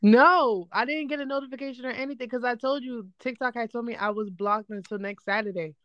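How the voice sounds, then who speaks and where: A young woman co-hosting a casual sibling podcast, a little hoarse from being under the weather, talking quickly and defending herself playfully. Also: a little high, a bit bright, dry recording, lo-fi sound. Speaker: podcast co-host. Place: apart from her co-host, remote recording.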